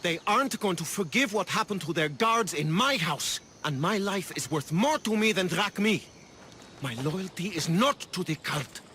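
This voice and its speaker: High, anxious, sharp-voiced schemer. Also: male voice, lively intonation, normal speed, a little hiss or hum. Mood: wheedling, anxious. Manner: anxious, pleading, wheedling. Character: panicky, self-serving male cult collaborator, ancient Greek schemer. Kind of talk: video game character acting.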